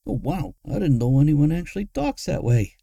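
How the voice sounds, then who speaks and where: A man's voice: fairly high pitch, sing-song, moderate pace, creaky, mellow, no room sound, clean studio sound, pitched above the performer's own voice, exaggerated voice. A man voicing a sly, conspiratorial wizard trickster in a fantasy audiobook, in mumbles and hissed whispers. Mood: urgent, conspiratorial.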